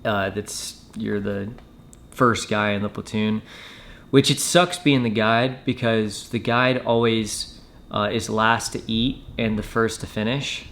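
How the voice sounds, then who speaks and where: A man telling a personal story in a casual, matter-of-fact way with hesitant pauses. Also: lowish voice, fairly even intonation, a bit bright. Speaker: storyteller, former Marine recruit.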